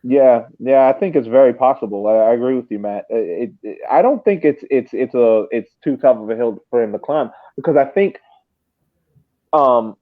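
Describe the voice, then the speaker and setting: A man co-hosting a sports podcast, giving casual analysis haltingly and repeating words as he thinks. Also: mid-range voice, narrow, tinny audio. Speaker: podcast co-host.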